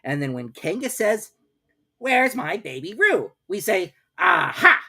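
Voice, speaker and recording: A self-important rabbit from a children's storybook, performed by a woman, bossing everyone about. The voice is pushed up high and swings widely in pitch, with deliberate, pompous emphasis on each point. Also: very animated, pushed and bright, husky, exaggerated voice. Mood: scheming, bossy. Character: Rabbit, bossy self-important male rabbit, brisk schemer.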